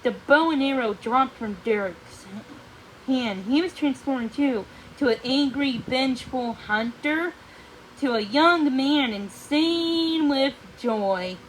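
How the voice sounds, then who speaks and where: Woman reading a fairy tale out loud, dramatic, slow n high voice, bit of noise behind. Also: low-quality recording. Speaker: read-along storyteller. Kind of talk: storybook read-aloud narration.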